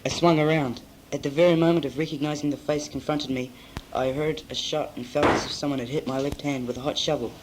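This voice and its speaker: A man's voice: fairly high pitch, steady tone, quick pace, lightly acted. A teenage boy playing a weary, solitary man who tells his story in scripted first-person narration on a noisy amateur cassette recording. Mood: grave. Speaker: narrator and lead in a school drama.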